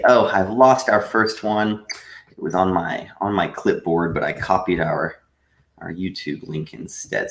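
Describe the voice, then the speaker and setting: Man, online teacher, low voice, patient explanations, roomy echo. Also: a bit bright. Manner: patient, explanatory, frequent "uh" pauses. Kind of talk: live-streamed English lesson. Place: room at home.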